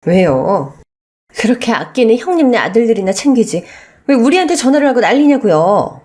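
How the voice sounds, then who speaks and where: A woman's voice: on the high side, animated, normal speed, a little mellow, close to an everyday voice. A woman voicing a wronged middle-aged daughter-in-law in a radio story, bitter, defiant and full of indignant questions. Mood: exasperated.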